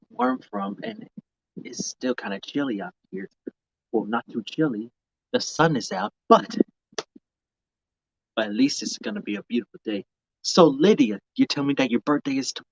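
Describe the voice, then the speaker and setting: Halting young man, slightly dull lo-fi audio. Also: medium pitch, sing-song, slight background noise. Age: mid-twenties. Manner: halting, repeats and restarts phrases. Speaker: live streamer. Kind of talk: casual rambling chat to viewers. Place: outdoors.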